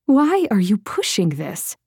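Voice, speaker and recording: A woman's voice: mid-range voice, huge pitch swings, measured pace, darkish tone, slightly rough, no room sound, studio quality, full character voice. A woman voicing a stubborn, fiery young woman healer in an audiobook, with a defiant, strident manner. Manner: indignant and strident.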